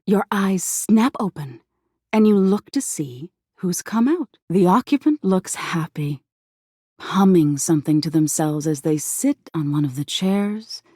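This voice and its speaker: A woman playing an eerie, softly menacing embodiment of existential dread in a video game, narrating slowly in a hushed, breathy voice that slips into whispers. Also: fairly low pitch, no room sound, clean studio sound, exaggerated voice. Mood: ominous, hushed. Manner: slow hushed storytelling, lapsing into whispers.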